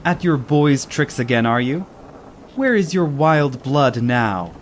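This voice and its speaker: An actor playing a boisterous, teasing Spanish caballero in a dramatized audiobook, theatrical and full of exclamation. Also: male voice, mid-range voice, expressive, slow, slight background noise. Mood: mocking. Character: boisterous, mocking Spanish caballero, always ready to laugh. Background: ambient sea sound bed.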